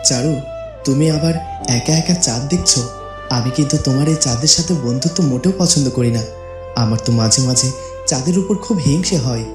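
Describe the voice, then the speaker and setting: A man's voice: mid-range voice, moderate pace, natural voice. A man voicing a devoted young cartoon prince in a tender, romantic, reassuring manner. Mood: teasing. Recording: roomy echo with music behind.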